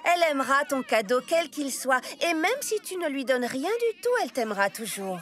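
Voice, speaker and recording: A woman voicing a cheerful, reassuring dolphin girl in an animated series, speaking in an encouraging way. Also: high voice, moderate pace. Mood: gentle, reassuring. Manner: lively, encouraging. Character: Dorinda, cheerful reassuring dolphin girl, leader of stunt swimmers. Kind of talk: French animated series dubbing. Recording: voice over background music.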